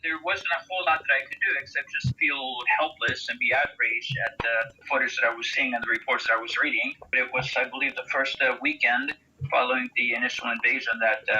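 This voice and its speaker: A man in his forties with an American accent answers interview questions haltingly, repeating words as he searches for phrasing. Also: medium pitch, fairly even intonation, bright, forceful tone, slightly rough. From United States. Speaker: volunteer soldier being interviewed. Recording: harsh phone-like field audio with noise.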